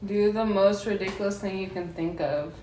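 A woman's voice: mid-range voice, normal speed, dark tone. A young adult woman cosplayer chatting casually on a livestream, laughing a lot. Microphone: phone livestream. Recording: echoey room, clear background noise.

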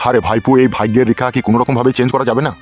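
A man's voice: medium pitch, little pitch movement, very fast, a little mellow, natural voice. A man voicing a put-upon village uncle in a comedy cartoon, complaining and resigned in a rustic way, with lo-fi sound. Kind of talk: amateur comedy cartoon dialogue. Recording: dull lo-fi sound with music.

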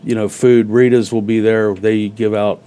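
A middle-aged man with a low, mellow voice explains things in a plain, practical way. He is a guest in a small TV studio, talking over a lapel mic. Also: little pitch movement, moderate pace, clean tone. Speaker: event organizer guest. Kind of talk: casual interview chat. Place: TV studio set.

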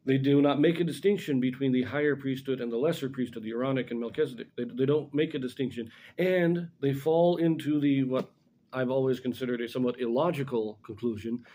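Middle aged guy doing a bible study talk, mellow mid-pitched voice, explains stuff step by step. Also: brisk, a little hiss or hum. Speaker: scripture commentator. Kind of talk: informal religious lesson with scripture reading. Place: small indoor room in front of a blackboard.

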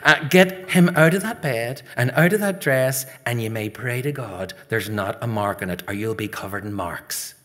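A man's voice: medium pitch, normal speed, few pauses, a bit bright, natural voice. A man voicing a stern, no-nonsense Northern Irish mother in a told story, scolding and commanding but caring.

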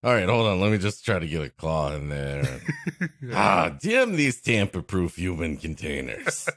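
A man with a slightly low voice and an unhurried pace that still swings widely in pitch. He riffs casually as a comedy podcast co-host. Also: very animated, a bit bright, no room sound. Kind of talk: casual comic riffing and interjections.

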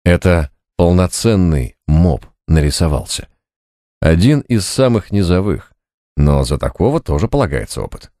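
Deep-voiced male audiobook narrator. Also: lively intonation, normal speed, dark tone, no echo, clean studio sound. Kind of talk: scripted fiction narration. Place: dry recording studio.

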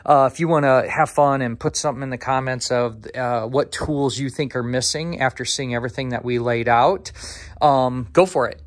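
An American man with a mid-pitched voice hosts a casual workshop video as a mechanic. He talks to the camera in an informal, chatty style, rambling from point to point as he goes through his gear. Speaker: motorcycle mechanic and channel host. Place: motorcycle repair garage workshop, indoors.

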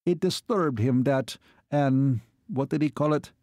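A man voicing a suave, gently menacing Hungarian military attaché in a story, formal and deliberate. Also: mid-range voice, dry recording, clean studio sound, voice pushed up, close to an everyday voice. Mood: smooth, knowing.

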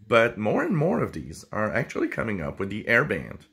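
A man presenting radio gear in a casual, unscripted way, with halting, hesitant phrasing. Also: fairly low pitch, huge pitch swings, gravelly, a bit bright, breathy edge. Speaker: amateur radio video presenter. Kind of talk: casual product talk, unscripted.

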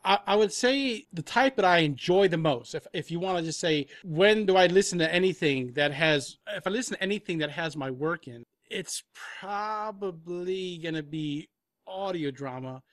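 A man's voice: high-pitched, normal speed, a bit bright, no echo, clean studio sound. A man chatting casually as an interview guest, musing and hesitant, often repeating himself. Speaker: film and audio drama composer, interview guest.

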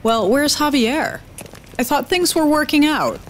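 A woman's voice: high voice, unhurried. A woman playing a sardonic, teasing young friend in casual audio drama dialogue. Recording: loud background noise.

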